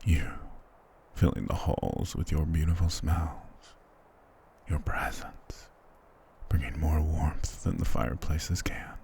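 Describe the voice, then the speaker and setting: A man's voice: rumbling low, slow pace, creaky, warm tone, heavily acted. A man playing a dominant, doting vampire lord in an intimate ASMR roleplay, speaking tenderly and possessively with formal endearments. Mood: tender, seductive.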